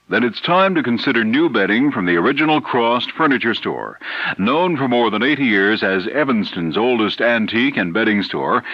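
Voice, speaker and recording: A man's voice: mid-range voice, no echo. An American man working as a local radio announcer, reading a scripted advertisement. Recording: dull old radio broadcast, dry.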